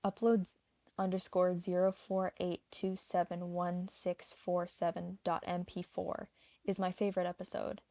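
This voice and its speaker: Low-pitched woman narrating, creaky voice. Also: monotone, unhurried, mellow, dry recording. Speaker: narrator of a video essay. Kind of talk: scripted explainer narration with personal opinions.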